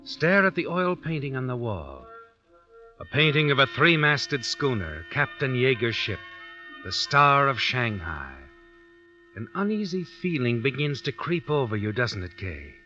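An actor playing an ominous, knowing storyteller, narrating an old-time radio suspense drama in an insinuating, dramatic way. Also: male voice, mid-range voice, very animated, unhurried, bright, forceful tone. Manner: insinuating, dramatic, second-person address. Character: ominous, knowing male storyteller needling the heroine. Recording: dull vintage radio recording with music.

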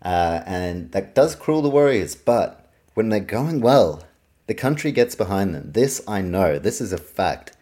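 A man's voice: fairly low pitch, animated, unhurried, dark tone. A man with a New Zealand accent giving a casual, opinionated solo sports preview as a podcast host, informal and sweary. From Auckland, New Zealand.

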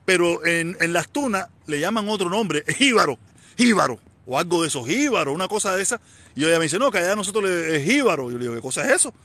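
A man's voice: sits high, sing-song, quick pace, pushed and bright, no room sound. A man in his forties from Havana, speaking with a Cuban accent, chatting casually and rambling on a live stream, repeating himself and answering viewer comments. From Cuba. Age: 40s.

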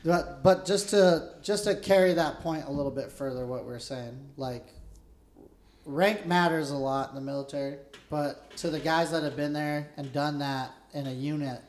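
A male podcast host with a fairly high, loud voice that carries, heard in an echoey room. He talks like a soldier among friends, swapping war stories casually. His language is blunt, slangy and heavily profane. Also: moderate pace, slight background noise. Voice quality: loud, carrying voice. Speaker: podcast host interviewing a fellow veteran.

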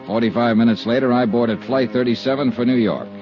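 A man's voice: medium pitch, a little fast, soft background music, natural voice. An actor playing a cool, wry insurance investigator who narrates his case in a clipped, dry 1950s radio detective style. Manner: clipped, dry, matter-of-fact hardboiled delivery. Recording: dull 1950s radio recording.

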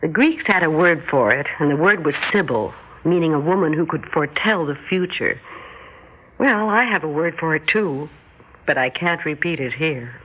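A woman's voice: sits low, moderate pace, close to an everyday voice. An American actress playing a wry suburban housewife and mother with second sight in an old-time radio drama, telling her tale conversationally. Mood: wry narration. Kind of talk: old-time radio drama acting and first-person narration. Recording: noisy, narrow-band vintage radio recording.

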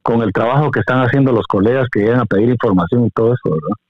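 A middle-aged Guatemalan man, a veteran broadcaster, reminiscing in an interview in a measured, courteous way. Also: mid-range voice, no room sound. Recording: dry, narrow phone-like line.

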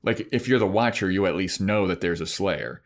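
Male podcast host, low-pitched voice, informal conversational delivery. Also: fairly quick. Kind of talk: casual chat about a TV show.

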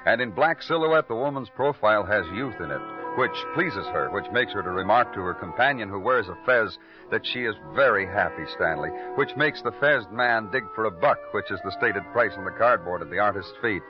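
Male actor, world-weary male police detective, 1950s radio drama, poetic narration, very animated intonation, music underneath, dull vintage sound. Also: fairly low pitch, brisk, close to an everyday voice. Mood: brooding, poetic. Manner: clipped, dry delivery in dialogue, florid in narration. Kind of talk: 1950s radio crime drama acting, lyrical scripted narration. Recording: muffled old radio recording.

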